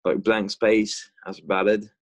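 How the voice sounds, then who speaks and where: A young man from France, a guest musician chatting on a video call from inside a car, halting with many fillers and restarts. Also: lowish voice, level tone. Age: young adult. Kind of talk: casual video call interview.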